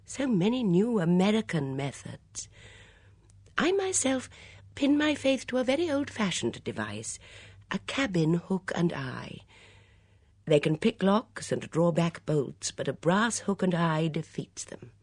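Gentle gossipy old lady, acted by a woman, high, husky, hesitant, prim, quietly shrewd, mystery audiobook. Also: sing-song, unhurried, no room sound, clean studio sound, exaggerated voice. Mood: gossipy, fretful. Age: older.

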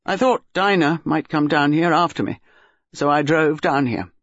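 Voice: mid-range voice, normal speed, fairly bright tone, dry recording, muffled recording, close to an everyday voice. A reader voicing a shaken young husband, sullen and fierce, with an agitated, blurting delivery.

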